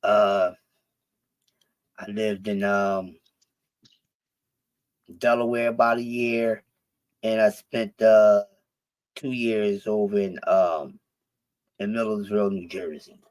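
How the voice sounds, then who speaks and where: A man's voice: fairly low pitch, steady tone, slow pace, long pauses, a little mellow, rough recording. Rambling, cussing Philly man. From Philadelphia. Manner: profane, rambling, with many restarts. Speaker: stream guest. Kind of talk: casual chat.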